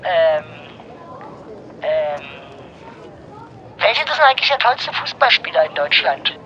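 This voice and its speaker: Man voicing a 17-year-old pest on the phone: bright, jumpy, stammering, muffled line. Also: a little high, sing-song, slow pace, full character voice. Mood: teasing. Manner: dim, stammering, teasing. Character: cheeky teenage boy, a 17-year-old little brother. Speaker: phone caller.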